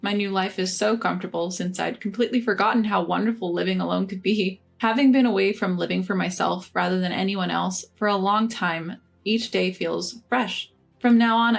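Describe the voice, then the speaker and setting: A woman narrating a scripted first-person revenge story in an even, measured reading. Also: medium pitch, creaky.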